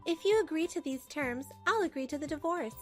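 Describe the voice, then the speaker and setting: A woman's voice: high-pitched, lively intonation, brisk, soft background music. A woman playing a wronged, pragmatic wife who narrates her marriage troubles expressively in a manga dub. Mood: firm, controlled. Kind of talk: dramatic first-person narration for a manga dub.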